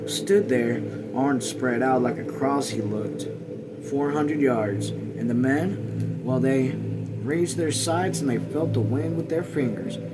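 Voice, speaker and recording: A man reading a novel aloud as a read-along host, somewhat haltingly, stumbling over words and voicing folksy dialogue. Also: medium pitch, very noisy. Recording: music playing behind the voice. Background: music.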